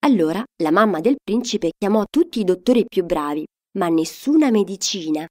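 A woman's voice: mid-range voice, expressive, moderate pace, a little mellow. A woman telling a fairy tale to children, reading a scripted story expressively as narrator.

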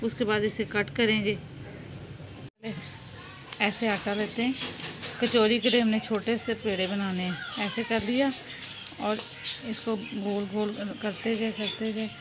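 A woman, calmly explaining a home cooking recipe step by step while she works. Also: mid-range voice, a little slow, pauses often. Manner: calm, instructive, talks while working with hands. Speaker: home cooking channel host. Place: home kitchen. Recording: loud background noise, birds chirping.

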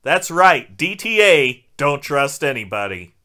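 A man's voice: fairly high pitch, very animated, unhurried, bright, forceful tone, soft background music. A man recapping a film as a podcast host, with crude, sarcastic wisecracks.

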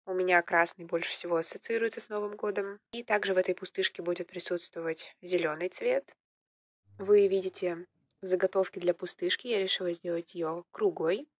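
A young woman with a slightly hoarse voice from a cold, casually narrating her clay crafting at home in a relaxed, self-ironic way. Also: on the low side, dry recording, clean studio sound. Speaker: vlogger, polymer clay crafter. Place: indoors at home, at a table.

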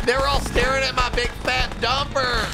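A man's voice: extremely high pitch, flat delivery, moderate pace, over loud music, voice pushed up. A man voicing a whiny, panicking camp counselor who squeals and yelps in pain in a game. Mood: squealing.

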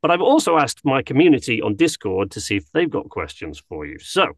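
A man's voice: mid-range voice, big pitch swings, brisk, dry recording. A man in his thirties hosting a casual video-call interview from a small home studio, upbeat and chatty, wearing a headset. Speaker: host. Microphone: headset over a cap.

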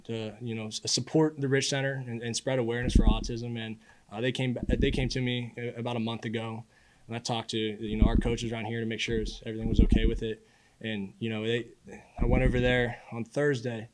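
A man in his early twenties speaks at a quick clip in a mid-range voice. He sounds like a college athlete at a press conference, casual and earnest, often repeating a word as he gathers his thought. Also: mid-range voice, level tone, crowd noise, poor audio. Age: early 20s. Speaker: college football quarterback. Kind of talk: postgame press conference answers. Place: indoor press room at a podium. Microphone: podium microphone.

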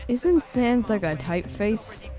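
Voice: medium pitch, expressive, slow pace, dark tone. A teenage streamer chatting casually and informally, with plenty of swearing. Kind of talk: casual livestream chat while drawing. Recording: music playing behind the voice. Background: music.

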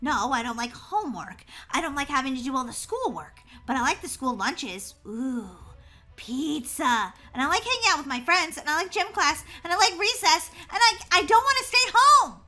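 A woman's voice: high voice, expressive, moderate pace, sharp tone, faint music behind. A woman voicing a whiny, dramatic schoolgirl who pleads and exclaims in an acted family story.

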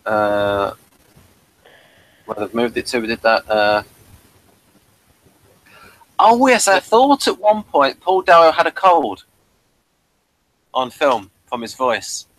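A man's voice: medium pitch, very animated, takes it slowly, long pauses, a bit bright, dry recording, low-quality recording. A man hosting a casual episode review chat, talking in a hesitant, rambling way and addressing listeners. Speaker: host of a TV review discussion.

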